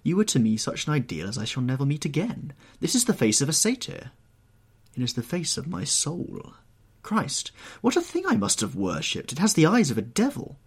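A man's voice: a little high, huge pitch swings, moderate pace, slightly rough, voice pushed up, heavily acted. A man voicing a horrified, anguished painter who pleads and moralises in an agitated, exclamatory way, in an audiobook story. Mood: appalled, anguished.

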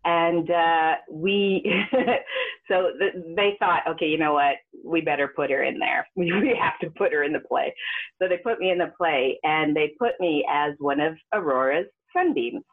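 A woman who grew up in Western Canada, telling stories as a guest performer in a casual interview, laughing often and jumping up high when she quotes people. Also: a little slow. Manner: animated, laughs often, jumps into high pitch for quoted voices. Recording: phone-like narrow sound.

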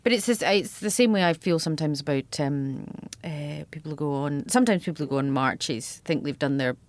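A woman's voice: deep voice, a little fast, dry recording. A middle-aged woman with a Scottish accent hosts a casual podcast chat. She talks with dry, self-mocking wit. Her voice sits close to the mic in a dry, dead space. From Scotland. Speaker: podcast host.

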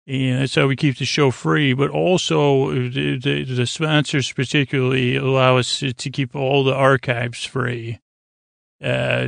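A man with a creaky voice hosting a sleep podcast in a lulling, rambling way, hesitating and over-explaining as he goes. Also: medium pitch, a bit bright, dry recording, clean studio sound. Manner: soothing, lulling. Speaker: podcast host.

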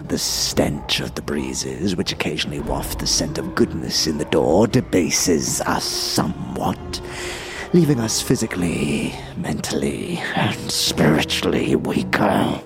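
An actor playing a smug, theatrical fiend who speaks in florid, grandiose, formal phrases with drawn-out words. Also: male voice, extremely low pitch, expressive, vocal fry, over music, heavily acted. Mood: smugly amused. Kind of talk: audio drama acting.

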